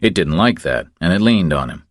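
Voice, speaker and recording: A man narrating a thriller audiobook in a very low voice. His delivery moves up and down with plenty of expression, and the sound is close and dry. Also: very animated, a little fast, no echo.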